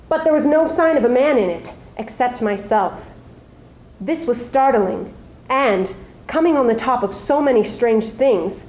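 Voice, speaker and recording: A teenage girl, a high school student, gives a deliberate, suspenseful dramatic reading of prose. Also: a little mellow. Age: teens. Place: live school showcase before an audience. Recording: echoey live room recording.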